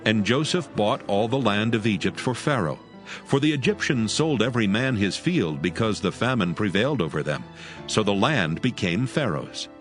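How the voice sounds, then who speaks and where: A man with a low voice narrates scripture solemnly. His intonation moves expressively across each verse, and music plays softly beneath. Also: expressive, normal speed.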